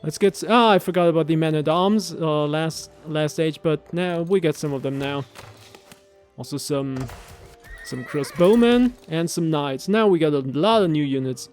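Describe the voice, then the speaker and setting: A man's voice: on the high side, moderate pace, a little mellow, soft background music. A man from Germany, a native German speaker with a German accent, casually explaining words as a co-host. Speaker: co-host explaining game voice lines. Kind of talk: casual language commentary.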